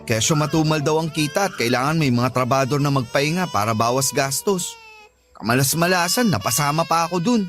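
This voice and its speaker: A sullen young Filipino working man in a radio drama. His voice sits high for a man, plaintive and discouraged, grumbling like a son talking back. Soft music plays behind him. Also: expressive, brisk. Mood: dejected. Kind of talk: Tagalog radio drama acting.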